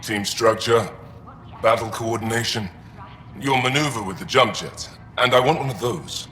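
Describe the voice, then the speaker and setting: A man playing a proud, honorable alien warrior in video game dialogue, formal and measured. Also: mid-range voice, bright, forceful tone, close to an everyday voice. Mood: proud, admiring.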